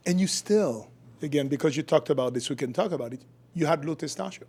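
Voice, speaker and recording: A man's voice: fairly high pitch, fast talker, darkish tone, husky. A middle-aged man from Haiti, a physician guest explaining his work and views in a podcast interview. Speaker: guest, functional medicine physician.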